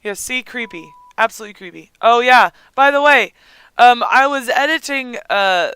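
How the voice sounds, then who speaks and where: A gaming host with a hoarse, raspy, squeaky voice, chatting casually over gameplay with a lot of giggling and rambling ums. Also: moderate pace, sharp tone, dry recording, poor audio. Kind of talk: casual let's-play commentary.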